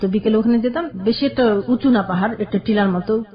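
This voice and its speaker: A woman telling her life story to a radio host, slow and hesitant, with restarts. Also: fairly high pitch. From Bangladesh. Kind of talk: personal storytelling interview. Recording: dull lo-fi radio recording.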